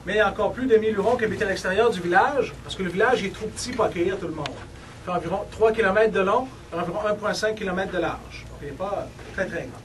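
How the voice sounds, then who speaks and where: A Quebec-accented man guides a group of visitors, joking and teasing as he explains. His voice sits high for a man. The sound comes from a camera some distance away, with a loud wash of room noise behind him. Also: fast talker. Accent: Quebec French. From Quebec, Côte-Nord. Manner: playful, teases the audience. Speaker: cultural tour guide. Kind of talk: guided tour talk to visitors.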